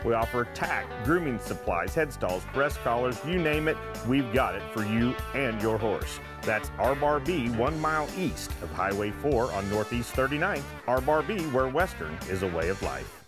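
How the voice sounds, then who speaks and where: A man's voice: medium pitch, lively intonation, normal speed, music in the background. A man reading a local store advertisement in a friendly promotional tone. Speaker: ad announcer. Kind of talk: local radio ad read.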